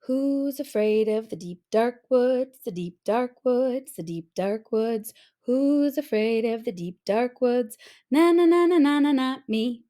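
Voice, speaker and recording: Woman as a high-pitched, bold little superhero girl. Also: expressive, takes it slowly, warm tone. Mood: singsong bravado. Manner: bold, self-important, declaiming. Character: brave little girl playing at being a superhero. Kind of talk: storybook read-aloud character.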